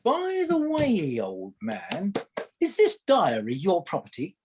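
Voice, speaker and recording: A man acting a sly, teasing gentleman satirist in a radio play, with a husky voice and droll delivery. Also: high-pitched, wildly swooping pitch, slow pace, noisy background, full character voice.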